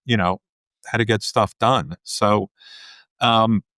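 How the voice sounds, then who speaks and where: A man's voice: on the low side, takes it slowly, clean tone, dry recording. A middle-aged man hosting a podcast interview, chatting casually with plenty of fillers and restarts. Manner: relaxed, rambling, many fillers. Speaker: podcast host.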